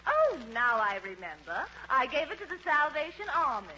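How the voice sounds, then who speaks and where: Haughty, catty dame for old radio: high, sharp, swooping delivery. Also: female voice, sing-song, normal speed, full character voice. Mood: catty, mock-puzzled. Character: haughty, catty grand lady, theatrically sarcastic. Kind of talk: 1940s radio comedy acting. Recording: dull, noisy old radio recording.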